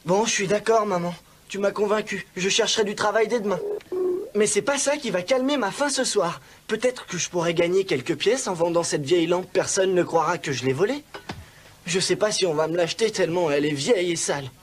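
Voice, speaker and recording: A woman voicing a plucky, cheeky young boy in an animated film dub. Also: lowish voice, a little fast, husky. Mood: earnest.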